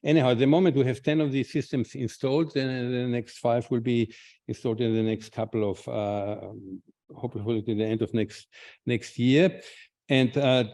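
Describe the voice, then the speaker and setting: Halting Austrian man giving a talk. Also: mid-range voice, steady tone, warm tone. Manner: halting, many restarts and repeated words. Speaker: invited speaker, clinician researcher. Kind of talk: conference talk with slides.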